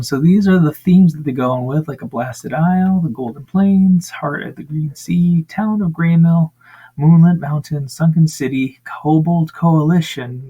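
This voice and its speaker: A man with a raspy voice casually talking through crowdfunding pages for tabletop games, with lots of ums and uhs. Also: mid-range voice, muffled recording. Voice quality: frequent ums and uhs, relaxed rambling. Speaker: tabletop gaming channel host. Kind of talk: casual screen-share review.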